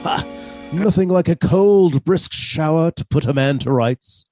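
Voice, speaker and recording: A man's voice: fairly high pitch, measured pace, music in the background, lightly acted. A man playing a mannerly, henpecked professor who is secretly a scheming killer, with formal old-fashioned phrasing, in a radio suspense play. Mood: jovial, evasive. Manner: formal, old-fashioned turns of phrase, hesitant when flustered.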